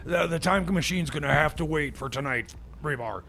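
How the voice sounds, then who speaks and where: A man playing an excitable, stammering time machine mechanic in a radio comedy skit, rambling and outraged. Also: fairly high pitch, normal speed, slight background noise. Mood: rallying. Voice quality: stammering, gravelly.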